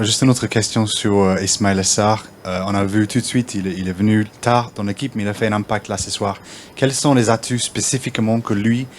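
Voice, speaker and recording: A man's voice: fairly low pitch, a little hiss or hum. A man asking a question as a sports journalist at a press conference.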